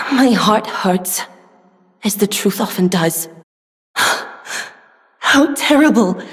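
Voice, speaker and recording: A young woman voicing a gentle, earnest, polite weapons smith in a video game, soft-spoken and given to sighs. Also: medium pitch, close to an everyday voice. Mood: sad, resigned, then shocked, unsettled. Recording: long hall reverb.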